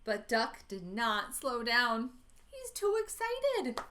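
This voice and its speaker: A woman reading a picture book aloud to young children at library storytime, expressive, with dramatic asides. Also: a little high, slow.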